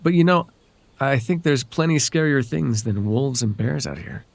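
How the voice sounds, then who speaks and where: A man playing a charming, courteous and flirtatious fae traveler in an ASMR roleplay, speaking in a gentle, playful, slightly hesitant way. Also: mid-range voice, animated, normal speed, vocal fry, no echo. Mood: teasing, mysterious.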